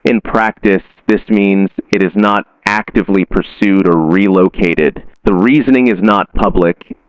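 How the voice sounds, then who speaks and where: A man reading a scripted horror report like a documentary, in a measured, solemn and deliberate manner. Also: low-pitched. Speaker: narrator of a horror story. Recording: dull lo-fi sound with room echo.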